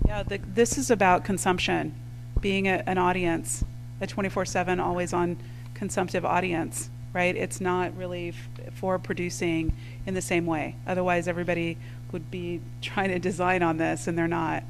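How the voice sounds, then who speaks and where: A woman's voice: lowish voice, flat delivery, moderate pace, gravelly, background noise. A woman panelist answering questions at a live panel, talking in a thoughtful, conversational way with many fillers. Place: lecture hall with audience.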